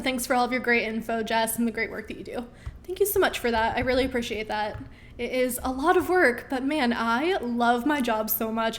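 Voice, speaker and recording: Upbeat woman host, fairly high voice. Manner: chatty, upbeat, with frequent ums. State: losing her voice. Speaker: host of a live Q&A stream. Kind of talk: casual live-stream chat reading viewer questions.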